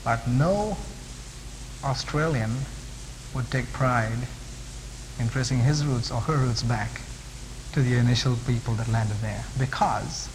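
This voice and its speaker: A man's voice: mid-range voice, slow, pauses often. A man preaching an expository sermon with explanatory asides, emphatically repeating and stacking phrases to drive points home. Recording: reverberant hall with audible hiss.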